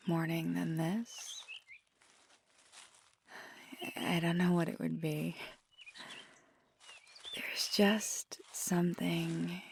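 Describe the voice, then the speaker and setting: A woman playing a feisty, affectionate magical girlfriend in an intimate ASMR roleplay, speaking softly and tenderly, often near a whisper. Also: fairly low pitch, vocal fry, slight background noise. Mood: playful, wistful. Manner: tender, teasing, lapsing into whispers.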